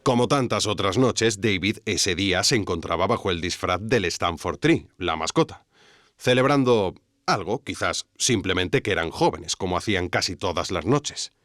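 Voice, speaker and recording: A man's voice: low voice, lively intonation, normal speed, fairly bright tone, no room sound, clean studio sound. A man playing an earnest documentary podcast host, narrating in a dramatic, rhetorical scripted style. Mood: solemn, intrigued.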